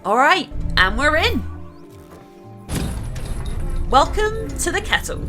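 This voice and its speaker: A woman narrating a gameplay video in an upbeat, chatty and enthusiastic way. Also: fairly high pitch. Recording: voice-over with game music behind. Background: music.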